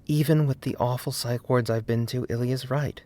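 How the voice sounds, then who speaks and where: A man narrating a scripted video essay in an earnest, deliberate way, with emphasis on key words. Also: medium pitch, fairly even intonation, dark tone. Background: faint background music.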